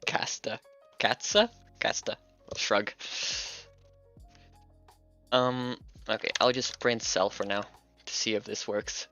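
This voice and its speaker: A man's voice: fairly low pitch, little pitch movement, slow pace, long pauses, bright, forceful tone, lo-fi sound, soft background music. A man from Hungary, a native Hungarian speaker, chatting casually on a livestream while he works, thinking aloud and laughing often. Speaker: streamer and game developer.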